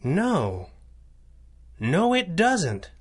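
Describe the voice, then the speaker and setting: A man playing a brainy, composed crimefighting boss in a 1930s-style radio adventure, speaking in measured, formal deductions. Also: on the high side, huge pitch swings, husky, heavily acted. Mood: thoughtful. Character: masked male crimefighting boss, composed, brainy, gently commanding.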